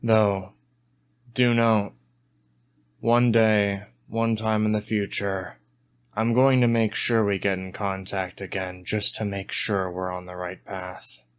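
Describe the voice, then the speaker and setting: A man voicing a cool, self-assured male operative in a cyberpunk tabletop game, calm and understated. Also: lowish voice, slow pace, clear, resonant tone. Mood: calm, certain.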